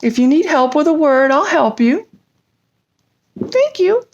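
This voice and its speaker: A woman storyteller talking in an animated way to young children during a bedtime storytime with puppet characters. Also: high-pitched, normal speed, muffled recording.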